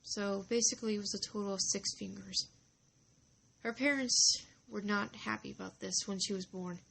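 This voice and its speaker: A woman narrating a story informally, halting and deliberate, with dramatic pauses between short phrases. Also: medium pitch, fairly even intonation, creaky, pushed and bright, a little hiss or hum, rough recording.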